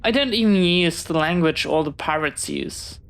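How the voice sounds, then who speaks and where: A woman's voice: lowish voice, expressive, moderate pace. A woman playing an eager, friendly, bookish young pirate in an audio roleplay, chatty and well-spoken with a slight hesitance. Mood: curious, friendly. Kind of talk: one-sided audio roleplay acting.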